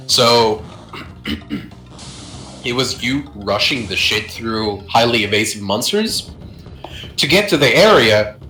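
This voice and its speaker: A man's voice: medium pitch, sharp tone, reverberant. A young man chatting casually about old online games, rambling, swearing and laughing often. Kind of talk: casual gaming chat.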